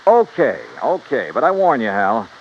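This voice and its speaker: A man's voice: mid-range voice, very animated, natural voice. An actor playing a wry, confident male insurance investigator in a 1950s radio detective drama. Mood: persuasive, wry. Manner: wry, brisk, skeptical. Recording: muffled, noisy old radio recording.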